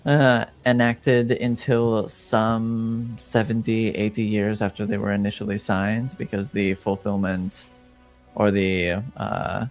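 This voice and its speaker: A man playing a formal, guarded informant in a fantasy tabletop game, speaking in measured, halting, carefully worded phrases. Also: fairly low pitch, little pitch movement, soft background music. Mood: cautious, formal. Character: formal, guarded male informant reporting intrigue in a fantasy world. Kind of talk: tabletop roleplay, improvised in character.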